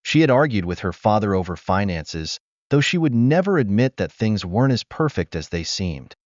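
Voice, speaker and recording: A man narrating a scripted moral tale in a smooth, expressive storytelling manner. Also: on the low side, normal speed, no room sound. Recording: dull dry recording.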